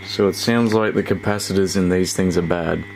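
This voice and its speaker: A man's voice: low voice, fairly even intonation, moderate pace. A man talking casually through a hands-on repair video, hesitant and thinking aloud as he goes. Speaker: host of a radio repair video. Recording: clear background noise with electrical hum.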